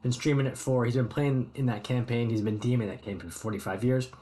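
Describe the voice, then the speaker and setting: A man's voice: mid-range voice, steady tone, fast, dark tone, slight background noise. Host, American man, chatty and meandering, talking to chat from his hobby room. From United States. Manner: rambling and friendly, many ums and restarts. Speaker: streamer host. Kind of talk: casual chat with live chat viewers.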